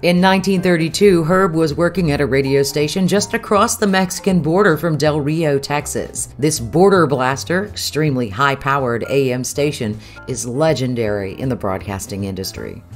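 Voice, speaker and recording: A woman's voice: sits low, normal speed, hardly any pauses, soft background music. A woman with an American accent reading scripted documentary narration.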